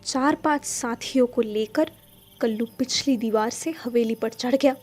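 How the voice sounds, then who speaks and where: High-voiced woman narrating a story. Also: unhurried, a little mellow. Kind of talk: dramatic literary story narration. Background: faint music.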